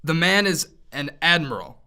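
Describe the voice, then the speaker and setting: A man's voice: fairly high pitch, very animated, moderate pace, bright, forceful tone, clear, resonant tone. A man working as an amateur voice actor, talking casually and rehearsing scripted lines. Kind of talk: casual talk and line rehearsal.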